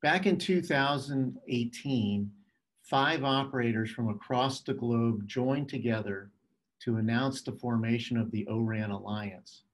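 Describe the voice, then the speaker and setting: A middle-aged man presenting a scripted keynote as a telecom executive, in a measured, formal, phrase-by-phrase way. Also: medium pitch, low-quality recording.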